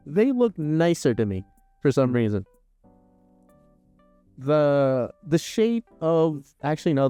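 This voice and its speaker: A man's voice: a little high, mellow, clear, resonant tone, no room sound. A young man chatting casually on a live stream while crafting, rambling, laughing and swearing as he reads chat aloud. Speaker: arts and crafts livestreamer.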